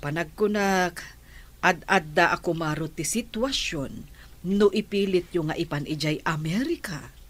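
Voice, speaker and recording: An actress playing a sensible middle-aged friend who gives measured advice in a radio drama. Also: female voice, fairly low pitch, expressive. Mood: friendly, concerned.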